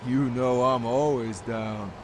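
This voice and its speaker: A man's voice: medium pitch, steady tone, takes it slowly, noisy background, natural voice. An actor playing a foul-mouthed, hot-tempered male street punk in a video game dub, aggressive and worked up. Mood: furious. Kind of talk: English game dub acting.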